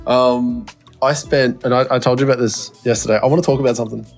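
A man's voice: mid-range voice, fairly even intonation, quick pace, creaky, soft background music. A young man co-hosting a podcast over a call, with a cold and a tickly throat, teasing and stammering as he chats. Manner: swears, stammers on repeated words, teasing. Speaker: podcast co-host. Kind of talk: casual chat. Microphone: remote call mic.

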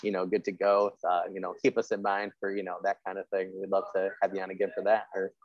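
A man's voice: low voice, monotone, quick pace, no echo, highs cut off. A man hosting a podcast interview in casual chat, rambling and repeating himself as he goes. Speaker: podcast host and writer.

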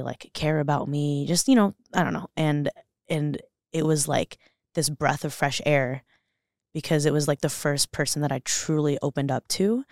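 A young woman talks openly on a podcast, her voice catching in a gravelly fry. She circles back, restarts, and fills gaps with "like" and "you know." The sound is dry and close. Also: low-pitched, darkish tone, dry recording. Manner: earnest, emotional, many fillers and restarts. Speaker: podcast guest. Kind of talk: candid interview conversation.

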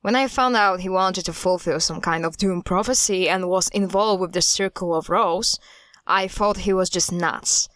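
A woman's voice: fairly low pitch, normal speed, a bit bright, no echo, clean studio sound, lightly acted. A woman playing a blunt, guarded cult hunter in an audio drama, grave and matter-of-fact.

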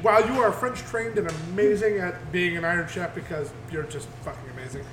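A man's voice: high voice, a little fast. Rambling man in an echoey room. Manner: rambling with many fillers. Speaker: podcast co-host. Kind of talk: casual group chat. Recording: echoey room with background noise.

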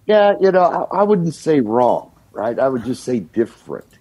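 An older man from the United States, a singer-songwriter guest, telling his story in a relaxed, reflective way with many "you know" fillers. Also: mid-range voice, moderate pace, breathy edge, dry recording, muffled recording.

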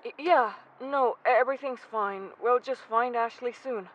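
An actor playing a gentle, anxious young man in an audio drama, speaking hesitantly. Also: very high voice, normal speed, highs cut off, slight background noise. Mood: anxious, vulnerable. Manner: hesitant, stammering.

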